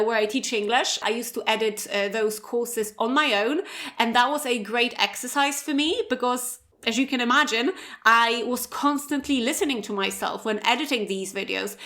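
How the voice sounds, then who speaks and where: A woman with a Polish accent and a fairly high voice hosts a solo English-teaching podcast. She talks in a chatty, conversational way and keeps checking in with the listener with "right?" and "okay?". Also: a bit bright. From Poland. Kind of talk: solo educational podcast monologue.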